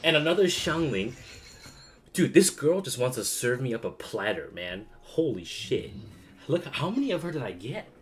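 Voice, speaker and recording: A man's voice: medium pitch, big pitch swings, normal speed, a little hiss or hum. A man streaming a video game with casual, slangy, sweary reactions and muttered asides. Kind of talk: casual reacting commentary over gameplay.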